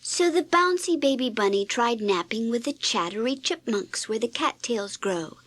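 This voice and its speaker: A woman reading a scripted children's read-along story in an expressive, deliberate storytelling manner. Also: a little high, no room sound. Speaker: storybook narrator.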